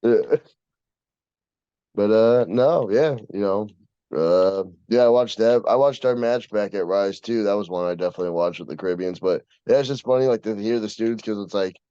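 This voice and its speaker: Low, mellow American guy, casual and slangy. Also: moderate pace, clear, resonant tone. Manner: slangy, swearing, brash. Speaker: podcast co-host and wrestler. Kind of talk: casual chat. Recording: dull, roomy lo-fi sound.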